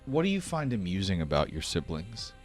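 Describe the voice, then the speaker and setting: A man with a very low voice runs a tabletop role-playing game as game master. He narrates in measured, descriptive phrases and prompts his players with questions, over soft background music. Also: sing-song.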